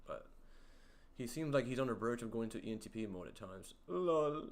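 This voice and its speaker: A young man hosting a casual livestream, reading chat aloud and joking around, with a deep voice. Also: expressive, moderate pace. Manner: playful, jokey, sings snippets and reads chat aloud. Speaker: livestream host.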